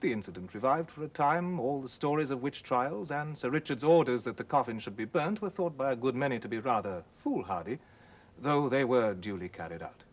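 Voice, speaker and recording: A man reads a classic ghost story as scripted narration, in a measured, formal manner with deliberate dramatic pauses. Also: medium pitch, lively intonation. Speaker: narrator, audiobook reader.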